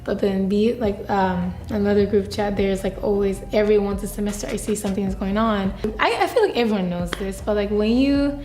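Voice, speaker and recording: A woman's voice: medium pitch, fairly quick, few pauses, darkish tone, roomy sound. A young woman from Japan with a Japanese accent, answering interview questions frankly with lots of "like" fillers. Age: young adult. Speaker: student interviewee.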